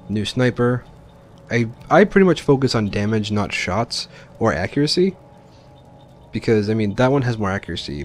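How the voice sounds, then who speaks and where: A man giving casual, informal let's play commentary, explaining his choices as he plays. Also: lowish voice. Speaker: gaming video host.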